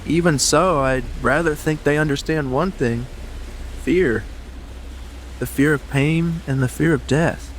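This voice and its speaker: An actor playing a reflective big game hunter in a dramatized audiobook, speaking in a measured, philosophical manner. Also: male voice, a little high, slight background noise, natural voice, poor audio. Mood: pensive.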